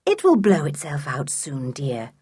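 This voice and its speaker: Anxious, tender aunt for a storybook, read by a woman, expressive and breathy. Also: medium pitch, unhurried, no echo, studio quality, full character voice. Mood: soothing. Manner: tender, fretful. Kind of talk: children's storybook character voice.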